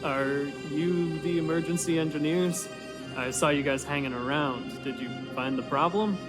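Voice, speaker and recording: Casting: man to play no-nonsense male guard for a game, fairly high voice, casual but firm, music underneath. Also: fairly even intonation, normal speed, close to an everyday voice. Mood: businesslike. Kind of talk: video game voice acting.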